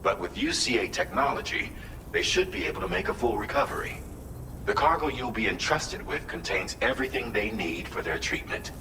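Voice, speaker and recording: An actor playing a composed, businesslike mission adviser in a video game, giving formal, measured and precise briefings. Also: male voice, medium pitch, animated, roomy sound, noisy background, close to an everyday voice. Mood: measured, serious.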